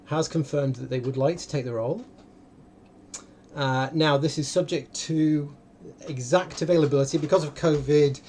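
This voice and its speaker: A man hosting an online live event in a casual, unscripted way, often halting and interrupting himself. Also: medium pitch, a little mellow, a little hiss or hum. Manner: rambling, halting, self-interrupting. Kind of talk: casual, unscripted livestream talk. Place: indoor room, online video call.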